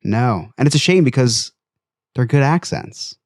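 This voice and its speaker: A man trades jokes as a podcast co-host, his pitch swinging widely. He often restarts mid-sentence. The sound is close and dry. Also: a little slow, no echo. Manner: animated, joking, trails off and restarts. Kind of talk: casual chat.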